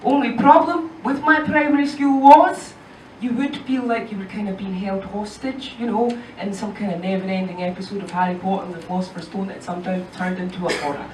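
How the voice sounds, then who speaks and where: A woman's voice: medium pitch, fairly even intonation, brisk, darkish tone, slight background noise. Young Scottish woman, stand-up, chatty asides, heard from a distance in a roomy club. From Scotland. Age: young adult. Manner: chatty, lots of "you know" and "right?" tags. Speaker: stand-up comedian. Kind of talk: stand-up comedy set. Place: comedy club stage.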